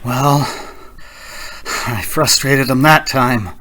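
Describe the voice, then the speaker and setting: A man playing a haunted, increasingly frantic cross-country driver in a suspense radio play, intense and dramatic, halting when agitated. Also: mid-range voice, noisy background. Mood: shaken. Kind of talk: suspense radio play acting with first-person narration.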